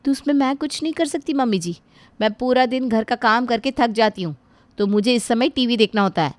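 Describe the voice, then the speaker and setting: A woman voicing a rude, sharp-tongued young daughter-in-law who snaps scornfully at her mother-in-law. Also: a little high, a little fast, dry recording, pitched above the performer's own voice, close to an everyday voice. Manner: snappy, scornful, lively.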